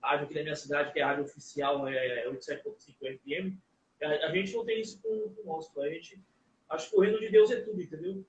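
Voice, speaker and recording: A man's voice: a little high, quick pace. A man who hosts a radio program, chatting casually in an interview and often checking in with "entendeu?". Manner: friendly, repeats "entendeu?". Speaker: radio show host. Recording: distant, muffled and roomy.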